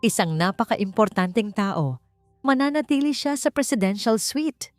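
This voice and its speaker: A woman's voice: medium pitch, animated, normal speed, dry recording, studio quality, soft background music, voice pushed up, lightly acted. A woman voicing a sneering, manipulative male hotel boss. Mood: secretive, commanding. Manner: taunting, condescending.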